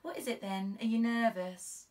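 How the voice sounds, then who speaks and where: A woman's voice: on the high side, moderate pace, a little mellow, recorded from a distance, voice pushed up, natural voice. A woman voicing a chirpy, eager, chatty young female police constable in an audiobook, with a breezy, informal, encouraging manner. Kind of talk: audiobook character voice.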